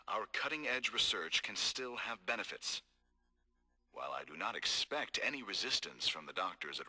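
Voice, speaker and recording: A man voicing a cold, scheming corporate executive in game audio logs, calm, measured and formal. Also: fairly low pitch, exaggerated voice, rough recording. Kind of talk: recorded game audio log.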